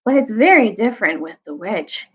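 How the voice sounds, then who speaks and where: A woman's voice: medium pitch, big pitch swings, normal speed, a bit bright, slightly rough, highs cut off. A woman voicing a bitter male dwarf rebel in a storybook reading, scornful, snappish and argumentative. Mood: cold, scornful.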